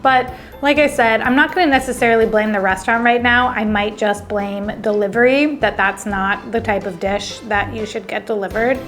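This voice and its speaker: A woman hosts a food vlog with a slightly high voice. She tastes dishes on camera and thinks out loud as she goes. Her talk is chatty and relaxed. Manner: chatty, thinks aloud while tasting. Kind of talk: casual food tasting vlog.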